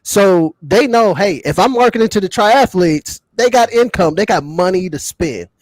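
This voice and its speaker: A man with a fairly high voice chats as a podcast co-host. His pitch moves around a lot as he argues in loose slang. The sound is dry, with very little room in it. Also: animated, moderate pace, no room sound, rough recording. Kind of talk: casual panel chat.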